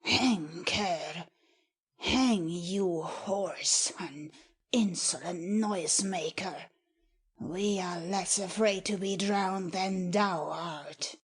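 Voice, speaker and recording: A woman's voice: lowish voice, extremely slow, slightly rough, full character voice. A woman playing a haughty, spiteful nobleman with biting contempt in an audiobook drama. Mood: contemptuous, angry.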